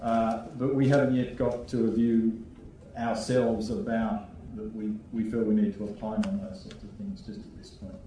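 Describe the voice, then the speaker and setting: A man, a regulator answering questions on a conference panel in a measured way, thinking aloud with restarts. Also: lowish voice, flat delivery, warm tone, slight background noise. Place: conference hall. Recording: echoey hall, voice from far off.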